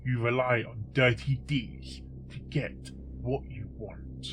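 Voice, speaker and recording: Man acting a slow-spoken, vengeful pony detective. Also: mid-range voice, over music, lightly acted. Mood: grim defiance. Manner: dramatic, confrontational. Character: vengeful, bitter male pony detective, defiant hero. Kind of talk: fan audio drama acting.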